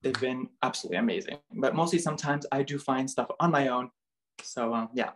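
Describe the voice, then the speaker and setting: Young guy chatting in an interview, lots of likes and ums, middle pitched voice. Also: a little fast. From Bulgaria, raised in Canada. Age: young adult. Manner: chatty, many fillers like "like" and "um". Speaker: interview guest, model and dancer. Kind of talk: casual chat.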